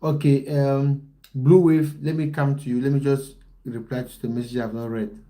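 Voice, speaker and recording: Man, football coach hosting a livestream; mellow, relaxed tone, direct and repetitive with viewers. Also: mid-range voice, moderate pace. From Cameroon. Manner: repeats phrases, talks straight to viewers. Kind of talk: livestream chat, reading out viewer comments.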